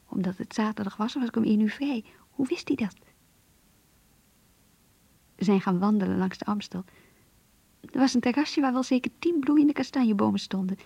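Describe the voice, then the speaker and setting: A woman's voice: fairly high pitch, normal speed, pauses often, no echo. An actress playing a young woman in love, reading her diary in a radio drama, intimate, yearning and earnest. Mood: happy, dreamy. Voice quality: breathy, whispery. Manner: intimate, hushed, confiding.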